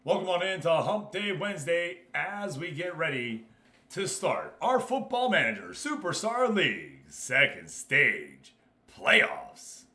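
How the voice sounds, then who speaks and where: A man's voice: fairly high pitch, big pitch swings, slow, bright, forceful tone. A man hosting a casual game livestream, talking informally and directly to his viewers. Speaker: streamer and host. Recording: roomy, echoing room sound.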